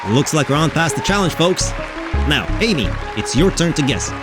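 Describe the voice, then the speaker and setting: Man playing a lively game show host. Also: on the high side, moderate pace, over loud music, a loud crowd. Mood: showy, upbeat. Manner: theatrical presenter patter. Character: showy male game show host, secretly reluctant. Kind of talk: audio drama game show hosting.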